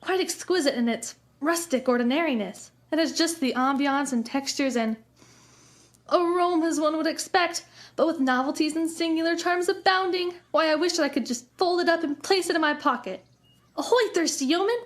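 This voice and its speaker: A woman's voice: sits high, moderate pace, natural voice. A woman playing an eager, genteel, starry-eyed lady missionary in a western comedy audio drama, with flowery, grandiloquent speech. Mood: awestruck.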